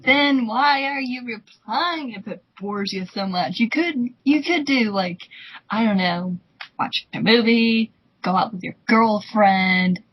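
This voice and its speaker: A woman banters casually with playful sarcasm. Her voice is husky and she takes her words slowly. The recording is dull and lo-fi. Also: fairly high pitch. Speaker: co-host commenting. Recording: dull lo-fi voice audio.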